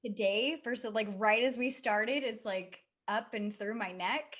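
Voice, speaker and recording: A woman's voice: on the high side, unhurried, fairly bright tone. A woman in her late twenties hosting a casual interview, chatty and rambling, laughing easily. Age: 20s. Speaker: host.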